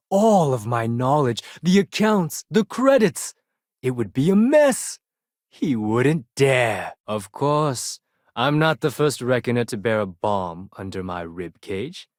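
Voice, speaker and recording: A man's voice: mid-range voice, dry recording, clean studio sound. A man playing a proud, sardonic accountant for a space pirate fleet in a video game, speaking in a precise, formal, condescending way. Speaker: video game character voice actor. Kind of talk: scripted game dialogue.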